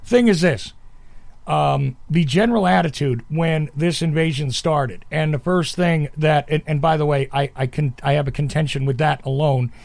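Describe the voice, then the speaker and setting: Gravelly, emphatic male podcast host. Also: a little high, moderate pace, a little mellow, no echo. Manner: rambling, emphatic, self-interrupting. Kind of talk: conversational interview.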